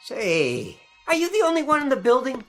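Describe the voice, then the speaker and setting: A blunt, grim policeman talks with widely swinging pitch. The delivery is 1960s television drama, from a vintage soundtrack with music underneath. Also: wildly swooping pitch, normal speed, heavily acted. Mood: urgent.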